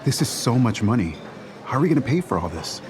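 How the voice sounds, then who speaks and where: A man's voice: medium pitch, big pitch swings, quick pace, crowd noise, close to an everyday voice. An actor playing a worried, argumentative young man who bickers insistently in a naturalistic audio drama.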